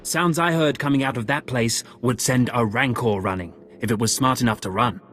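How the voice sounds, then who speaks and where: A man's voice: mid-range voice, moderate pace, fairly bright tone, slight background noise, close to an everyday voice. A man acts an earnest Imperial organizer of expeditions in a video game. His voice sits in a medium register, grave and businesslike, with worry underneath. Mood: grim. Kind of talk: video game cutscene acting.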